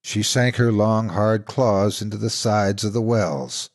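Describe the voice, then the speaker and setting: A man narrating scripted stories as a horror narrator, deliberate and dramatic in his delivery. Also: fairly low pitch. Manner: deliberate, suspenseful storytelling.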